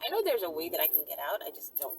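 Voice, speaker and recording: A woman talking casually and candidly to viewers as a question and answer host, with playful, drawn-out emphasis on words. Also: sits low, big pitch swings, creaky. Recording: distant mic with loud traffic and vehicle noise. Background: traffic noise through an open window.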